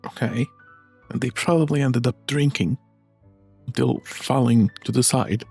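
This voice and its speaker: A man's voice: mid-range voice, measured pace, gravelly, a little mellow, slightly rough, soft background music. A man giving casual, laid-back and dry reaction commentary as he plays a game. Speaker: gaming YouTuber.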